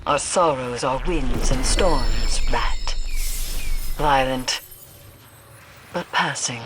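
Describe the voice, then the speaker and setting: A woman's voice: a bass voice, very slow, music in the background, exaggerated voice. An actress playing a solemn, cryptic female warlock scholar in a video game, with formal, grave phrasing. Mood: brooding. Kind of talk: video game cutscene acting.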